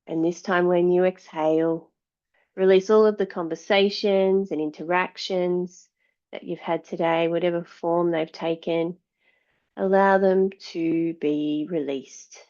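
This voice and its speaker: Woman, yoga teacher, very slow pace, soothing guided meditation, long pauses, slightly muffled lo-fi recording. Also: fairly low pitch, steady tone, a little mellow. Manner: measured, soothing delivery with long pauses between phrases. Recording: dull, slightly lo-fi sound.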